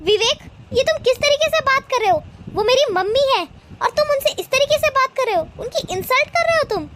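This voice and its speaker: A woman acts out a cartoon family woman with an extremely high, almost childlike pitch. She is protective and indignant, speaking in a dramatic, reproachful way as in an animated moral story. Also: a little fast, a little hiss or hum. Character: protective, indignant mother-in-law and her young married daughter.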